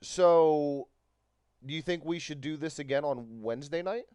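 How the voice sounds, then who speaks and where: A man's voice: fairly high pitch, normal speed, darkish tone, smooth. Man in his thirties chatting on stream. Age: 30s. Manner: rambling, lots of fillers. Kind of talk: casual livestream chat.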